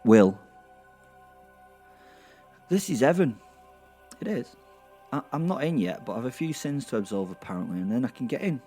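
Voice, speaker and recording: A man's voice: mid-range voice, big pitch swings, moderate pace, pauses often, warm tone, close to an everyday voice. A man playing a restless, mischievous werewolf, narrating wryly. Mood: restless, reluctant. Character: cocky, restless, mischievous male werewolf killer. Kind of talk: first-person narration in horror comedy audio drama. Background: music.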